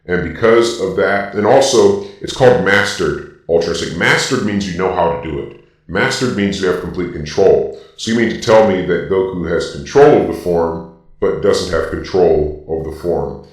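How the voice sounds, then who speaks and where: A man voicing a robotic dark-lord villain through a robot voice filter, giving opinionated, emphatic casual commentary. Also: deep voice, normal speed. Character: Darth Vader-like robotic villain explaining things. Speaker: video host ranking anime transformations. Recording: very echoey, distant from the mic.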